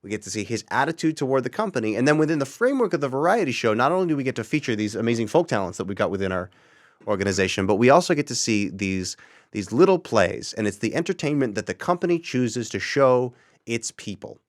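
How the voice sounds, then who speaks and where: A man's voice: medium pitch, sing-song, fast. A man being interviewed as an audio drama creator, talking in an explanatory, articulate way. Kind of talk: interview talk.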